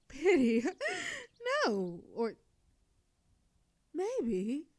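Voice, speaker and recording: A woman playing a proud, sardonic female telepathic villain with a hidden soft side, in a theatrical scripted audio drama. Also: mid-range voice, no echo. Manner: theatrical, indignant then soothing.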